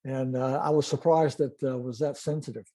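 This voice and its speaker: An older man giving an informal technical presentation and demonstration, explaining methodically in a halting way. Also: mid-range voice, steady tone, mellow. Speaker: club presenter, retired-style veteran telephony engineer.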